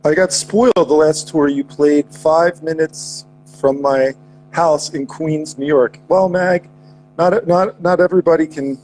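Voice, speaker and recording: A man's voice: on the high side, steady tone, unhurried, a little mellow, a little hiss or hum, rough recording. A man hosting a casual podcast chat, joking around with lots of restarts and goofy asides. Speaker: podcast host.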